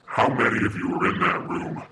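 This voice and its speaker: A man playing a secretive, paranoid anonymous caller who disguises his voice with a robotic, whispery filter. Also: brisk. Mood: guarded, irritated. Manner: paranoid, evasive, impatient. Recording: disguised phone call with loud noise.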